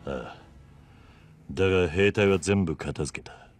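Very deep-voiced, stoic yakuza brawler. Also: male voice, faint music behind. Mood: grave, terse. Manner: terse, solemn, measured. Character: stoic, middle-aged male yakuza brawler, quietly protective. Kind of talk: Japanese video game cutscene acting.

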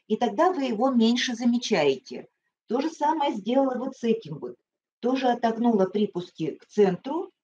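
A woman's voice: on the high side, a little mellow, highs cut off, a little hiss or hum. An older woman in her sixties teaching an online sewing lesson, explaining at a dress form with many fillers, recorded on a webcam in a small studio.

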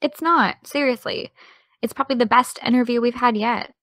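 A woman playing a determined, sardonic teenage podcaster in an audio drama, with a dry, brisk delivery. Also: a little high, fairly bright tone, no echo, close to an everyday voice. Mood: reassuring, confident. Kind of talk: naturalistic audio drama dialogue.

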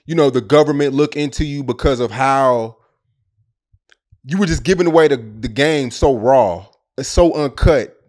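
A man's voice: medium pitch, darkish tone. A man interviewing a guest, asking casual, slangy questions.

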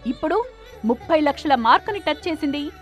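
A woman's voice: high-pitched, lively intonation, brisk. A woman reading scripted news narration as a reporter. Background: background music.

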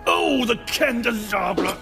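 A man voicing an excitable, money-loving older music shop keeper in a cartoon, creaky, flustered and quick to exclaim. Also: very high-pitched, heavily acted. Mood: grudgingly obliging. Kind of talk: animated cartoon acting. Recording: loud music behind the voice.

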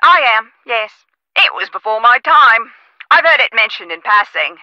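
A woman's voice: fairly high pitch, very animated, moderate pace, dry recording, muffled recording. An actress playing a chatty, officious female office manager in a video game, heard over a telephone. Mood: confiding, friendly. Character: chatty, prim female office manager on the phone, policy-proud.

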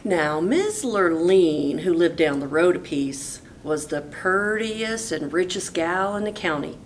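A woman teacher reading a picture book aloud in a folksy, animated storytelling way. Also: takes it slowly. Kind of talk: expressive storybook read-aloud. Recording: noisy with low rumble.

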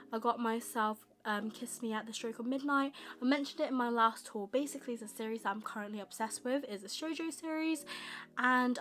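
Husky-voiced chatty young woman. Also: on the high side, a bit bright. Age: young adult. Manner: rambling, chatty, quick asides. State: husky from a tickly throat. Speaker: manga vlogger. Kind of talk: casual chatty haul vlog. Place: bedroom with manga shelves, indoors.

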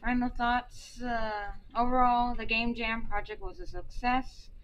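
A woman's voice: on the high side, fairly even intonation, a little slow, fairly bright tone, highs cut off. Young woman reading a class presentation. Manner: hesitant, many fillers and restarts. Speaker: team leader presenting a project. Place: indoor room.

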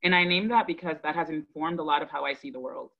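Earnest woman on a muffled video call. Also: low-pitched. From Dominican Republic, raised in Boston from age five. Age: 30s. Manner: earnest, measured, thoughtful with deliberate pauses. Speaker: guest speaker, education advocate. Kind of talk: reflective personal storytelling to an online audience. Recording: dull, lo-fi video call audio.

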